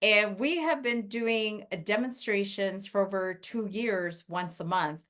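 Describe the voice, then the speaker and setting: A woman's voice: mid-range voice, measured pace, fairly bright tone. A woman hosting a podcast, chatting with a guest in a gushing, enthusiastic way over a video call. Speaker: podcast host. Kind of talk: casual interview chat.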